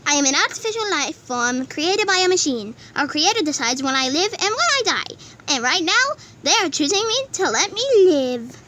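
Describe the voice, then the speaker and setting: A woman's voice: sits high, very animated, moderate pace, pushed and bright, a little hiss or hum, heavily acted. A woman voicing an artificial talking butterfly teacher in a cartoon comic dub, explaining morbid things in a matter-of-fact way. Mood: calm certainty.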